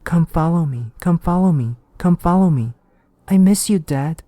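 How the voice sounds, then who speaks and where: A man voicing the ghostly wife and child of a grieving detective, in a high, pleading, hypnotic way. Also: moderate pace, dark tone, no room sound, lightly acted. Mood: pleading, eerie.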